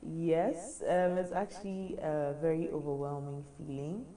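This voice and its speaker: Deep, gravelly-voiced woman from Ghana. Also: measured pace, mellow. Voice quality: naturally deep voice. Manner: hesitant, many fillers and restarts. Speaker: pageant contestant. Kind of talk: casual interview chat.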